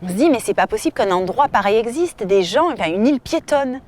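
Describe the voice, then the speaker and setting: A woman from southern France, a novelist, telling stories in an interview with lively fillers and restarts. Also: on the high side, quick pace, a little hiss or hum. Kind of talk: casual interview answers. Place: outdoor festival.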